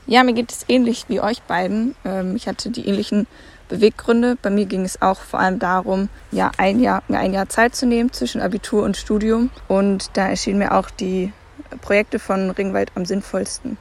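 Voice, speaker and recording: Eine neunzehnjährige Frau aus der Nähe von Bremen, die nachdenklich und mit Füllwörtern über ihre Gründe spricht. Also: female voice, mid-range voice, steady tone, moderate pace, vocal fry, a little mellow. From near Bremen, Germany. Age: teens. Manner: thoughtful, with fillers. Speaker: young volunteer.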